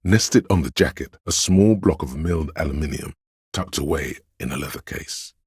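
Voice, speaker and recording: A man with a gravelly, husky voice, narrating a video game in a measured, solemn, literary and dramatic style. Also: very deep voice, a little mellow, no room sound, studio quality. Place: close, dry studio.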